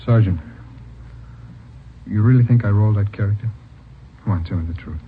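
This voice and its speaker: Tinny fast-talking worried young rookie cop, acted by a man. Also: on the low side, natural voice. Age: young adult. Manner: earnest, pleading, hesitant. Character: worried young rookie policeman accused of robbery. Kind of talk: 1950s radio drama acting. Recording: tinny, hissy old radio recording.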